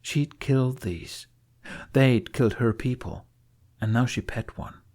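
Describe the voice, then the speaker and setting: A man's voice: mid-range voice, unhurried, a little mellow. A man reading scripted fiction as an audiobook narrator.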